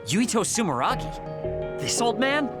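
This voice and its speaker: A man's voice: extremely high pitch, very animated, measured pace, over loud music, heavily acted. An actor playing a blunt, sarcastic young male psionic squad member in a dramatic video game scene. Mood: incredulous.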